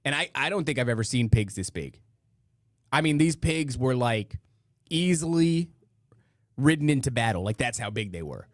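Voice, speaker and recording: A man with a rough voice hosting a podcast, chatting casually in rambling, slangy, profane talk to a live audience online. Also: medium pitch, lively intonation, moderate pace, pauses often, no echo, studio quality. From northeastern United States. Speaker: podcast host. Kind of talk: casual live chat, reading listener comments.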